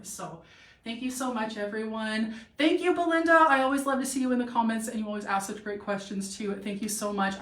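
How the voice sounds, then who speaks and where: A woman's voice: medium pitch, pushed and bright. A young woman from Canada hosting a casual live-stream try-on, talking in a chatty, rambling way with many fillers and a slightly sore throat. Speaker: boutique model and live-stream host. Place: boutique room with a clothing rack. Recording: echoey room, voice from a distance.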